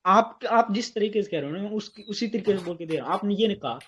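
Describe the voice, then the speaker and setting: On a muffled, lo-fi call, a man with an Indian accent argues casually. His voice sits a little high. He challenges the other side and repeats his questions and objections. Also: lively intonation, quick pace, fairly bright tone, a little hiss or hum. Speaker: caller, atheist debater. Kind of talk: argumentative casual debate. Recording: dull lo-fi phone call audio.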